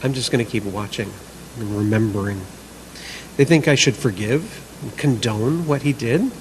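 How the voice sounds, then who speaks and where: A man's voice: mid-range voice, moderate pace, lightly acted. Man acting a bitter, brooding man with noisy background. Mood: stubborn grudge. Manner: aggrieved, obsessive, sarcastic. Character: bitter, sleepless man brooding over a feud with his neighbour. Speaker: reader in a church service skit. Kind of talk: dramatic monologue reading.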